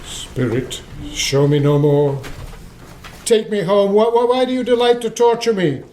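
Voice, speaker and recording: A man playing an old miser in a radio play, theatrical, excitable and halting. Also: high-pitched, a little hiss or hum, poor audio. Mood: anguished pleading. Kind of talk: stage-like radio play acting.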